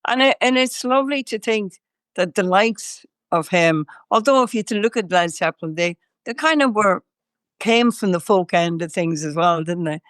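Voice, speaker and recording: A woman's voice: medium pitch, lively intonation, moderate pace, a bit bright, clean tone, no echo. An older woman from Ireland, a singer interviewed as a guest, talking in a rambling way with many fillers and restarts while chewing gum. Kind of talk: casual interview chat.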